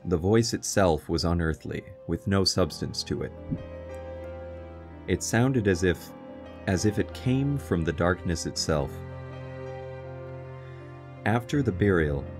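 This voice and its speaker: A man playing a grave, protective young fiancé who narrates and acts in a horror radio drama, in measured speech. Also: low voice.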